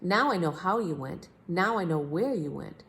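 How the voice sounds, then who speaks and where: A woman voicing a polite, easily impressed king in a children's storybook, earnest and expressive. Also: on the low side, moderate pace.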